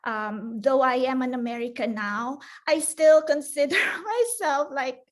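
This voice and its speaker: Slow, high-voiced woman presenter. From Philippines. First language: Filipino (Tagalog). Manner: hesitant, many fillers and restarts, laughs often. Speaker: librarian presenter. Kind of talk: conference talk.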